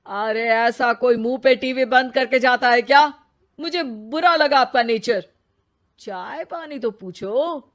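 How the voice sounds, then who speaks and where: Woman playing a cheeky, slow-talking magic TV newsreader. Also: fairly high pitch, fairly bright tone. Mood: offended.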